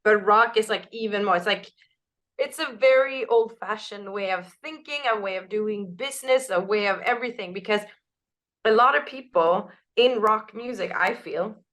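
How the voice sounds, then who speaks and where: A woman singer with a naturally raspy voice, answering interview questions in a loose, candid way, full of "like" and "you know". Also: mid-range voice, normal speed, fairly bright tone. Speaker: band singer.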